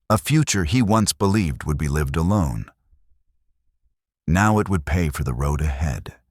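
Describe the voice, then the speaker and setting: A man narrating a frontier romance story in a slow, solemn, dramatic read. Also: extremely low pitch, dry recording, clean studio sound.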